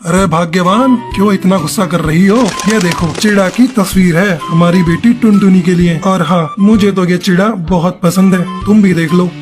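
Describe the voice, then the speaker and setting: A man's voice: high-pitched, moderate pace, hardly any pauses, over music, natural voice. A man voicing a jovial, placating, proud father bird in a children's cartoon story. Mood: jolly. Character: cheerful, proud father bird, adult male.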